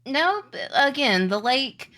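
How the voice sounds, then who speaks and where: Slow-paced, bright-voiced woman narrating. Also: high-pitched, very animated. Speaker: game master. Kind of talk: improvised tabletop game narration.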